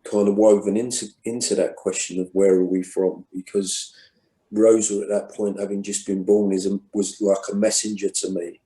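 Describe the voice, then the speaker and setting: Casual: guy, kinda deep mellow voice, thinking out loud, echoey room. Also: little pitch movement, moderate pace. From England. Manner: thoughtful, many restarts and fillers. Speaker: guest poet. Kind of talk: reflective conversation.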